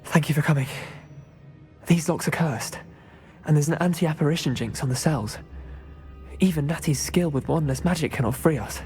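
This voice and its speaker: An actor voicing a calm, grateful captive male wizard in a video game cutscene. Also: a little high, moderate pace, soft background music, close to an everyday voice.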